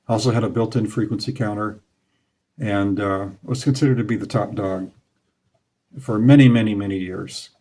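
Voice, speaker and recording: A man's voice: fairly low pitch, a little mellow. A middle-aged man giving a casual, knowledgeable talk over slides from a small indoor room, with frequent fillers. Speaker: radio hobby host.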